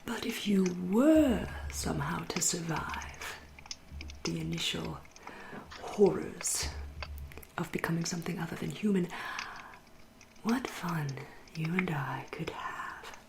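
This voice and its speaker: A woman with an English accent playing a seductive, wry, refined female vampire in a soft, whispered ASMR roleplay, speaking slowly and formally. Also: on the low side, expressive, background noise, exaggerated voice. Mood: enticing, playful. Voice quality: soft, breathy, often whispering. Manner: slow, lingering, genteel, archly formal. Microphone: close ASMR microphone.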